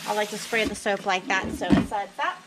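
Woman talking thru her sink chores at home, plain practical tone, loud water running behind her. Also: medium pitch, fairly quick, heavy background noise. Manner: plain, practical, talks while working with fillers. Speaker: homesteader showing her routine. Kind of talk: casual how-to narration. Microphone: camera mounted above the sink.

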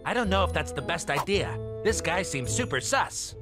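A man playing a pompous, scheming, suspicious spaceship captain in an animated cartoon, with theatrical, wordy delivery. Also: a little high, moderate pace. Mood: suspicious, accusing. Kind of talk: animated web cartoon acting. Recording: loud music behind the voice.

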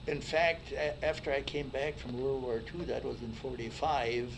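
A man's voice: mid-range voice, steady tone, normal speed, noisy background. A man in his eighties reminiscing in a group interview, plain and good-humored. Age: 80s. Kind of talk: recalling memories.

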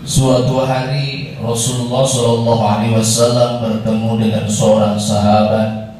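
A middle-aged man with an Indonesian accent giving a formal, measured and emphatic Friday sermon with Arabic recitation. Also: mid-range voice, level tone, a little mellow. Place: indoor mosque prayer hall. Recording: very echoey hall, distant, faint noise.

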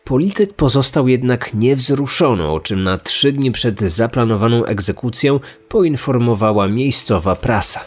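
A man delivering serious, measured scripted true-crime narration as a podcast host. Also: medium pitch, animated, slightly rough. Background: music.

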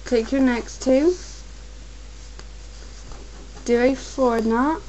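A woman's voice: high-pitched, noisy background. A young woman who is getting a cold, patiently giving step-by-step craft instructions at a home desk. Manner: patient, repetitive instructions, slow and careful at times. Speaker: crafts tutorial maker.

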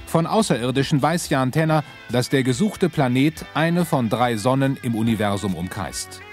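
An actor as the dramatic narrator of a scripted sci-fi audio adventure. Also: male voice, mid-range voice, natural voice.

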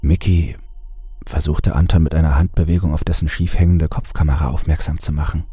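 A man with an extremely deep voice narrates a horror story. The tone is dark and heavily rasping. He takes measured, dramatic pauses over faint music. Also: steady tone, no echo.

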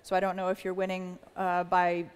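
A middle-aged woman from the United States, speaking on a panel in a measured way with many fillers and restarts. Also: fairly low pitch, monotone, clear, resonant tone. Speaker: panelist, company executive. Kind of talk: panel discussion. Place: large hall with a live audience. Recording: long hall reverb.

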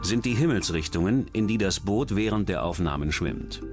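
A man's voice: low-pitched, lively intonation, moderate pace, darkish tone. A man reading scripted documentary narration in as the narrator. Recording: music under the voice. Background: background music.

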